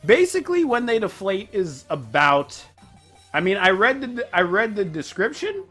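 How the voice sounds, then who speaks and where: Animated, high-pitched man reacting. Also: moderate pace, slightly rough, faint music behind. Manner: animated, rambling, exclaiming. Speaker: reaction video host. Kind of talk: casual reaction commentary.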